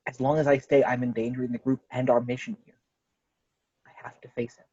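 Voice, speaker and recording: An earnest young hero, played by a man, calm and resolute, with a husky mid-range voice. It is a script table read heard through slightly muffled video-call audio with little room sound. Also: medium pitch, a little fast, no echo, close to an everyday voice. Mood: grave, resolute.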